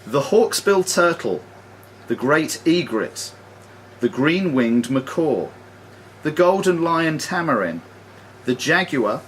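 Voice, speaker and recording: A man hosts a quiz with an animated, swooping delivery. He reads questions in a measured, formal way and drops in jokey asides. The live room recording has a light layer of noise. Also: on the high side. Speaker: quizmaster. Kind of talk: reading quiz questions aloud to players. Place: sports and social club room.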